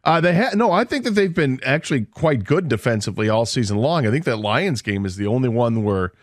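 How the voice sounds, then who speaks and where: A man's voice: mid-range voice, big pitch swings, brisk, dry recording, studio quality. A man co-hosting a sports radio show, breaking down a football game in a casual, analytical way. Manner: analytical, confident picks. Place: radio studio.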